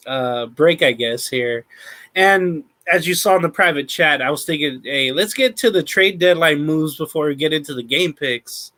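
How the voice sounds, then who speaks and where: A man co-hosting a sports talk show, recapping news in a steady, methodical way with many fillers. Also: on the high side, moderate pace. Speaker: podcast co-host.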